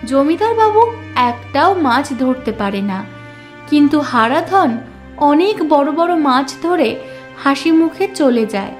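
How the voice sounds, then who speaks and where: High-pitched woman narrating a children's story. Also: very animated, unhurried, faint music behind.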